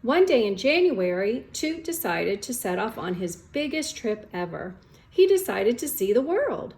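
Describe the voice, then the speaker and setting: A woman's voice: a little high, big pitch swings, unhurried, a little mellow, reverberant. A woman speaking informally as an elementary school teacher, chatting and reading a storybook aloud to children.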